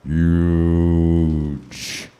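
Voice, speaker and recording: A man's voice: low-pitched, steady tone, very slow, warm tone, slight background noise, pitched below the performer's own voice. A man playing a pompous, blustering villain president as a cartoonish parody in a comedic live radio play. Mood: blustering command.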